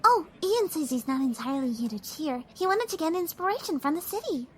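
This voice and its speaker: Tiny high female voice, shy cartoon pony, soft-hearted, hesitant, lilting, music behind. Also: sing-song, brisk, a little hiss or hum. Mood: gentle, doting. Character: shy gentle young female pony, animal lover. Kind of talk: audio drama acting.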